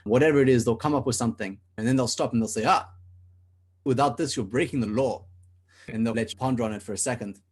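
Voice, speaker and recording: A man's voice: mid-range voice, fast talker, husky. A young man from South Africa, co-hosting a podcast and talking earnestly in long, rambling stretches with many restarts. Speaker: podcast co-host. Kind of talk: casual podcast discussion.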